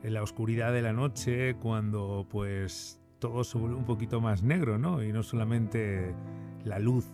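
A man's voice: lowish voice, measured pace, dark tone. A man hosting a late-night radio call-in, speaking intimately and reflectively straight to his listeners. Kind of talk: intimate radio monologue and caller interview. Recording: music under the voice.

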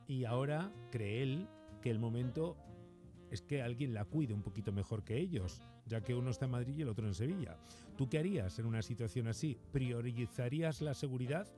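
Radio host over guitar. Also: male voice, medium pitch. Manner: conversational, asks listeners questions. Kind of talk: late-night radio call-in hosting. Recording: music bed behind.

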